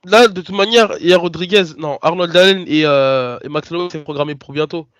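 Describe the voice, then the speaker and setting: A man's voice: a little high, fairly quick, fairly bright tone, clear, resonant tone, dry recording. A French man co-hosting a casual podcast, talking hesitantly with many restarts. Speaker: podcast co-host. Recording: dry, dull lo-fi sound.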